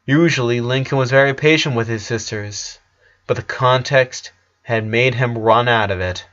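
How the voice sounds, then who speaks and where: A man's voice: mid-range voice, normal speed, fairly bright tone, highs cut off. A man reading a dramatic story aloud as narrator. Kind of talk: dramatic fan fiction narration.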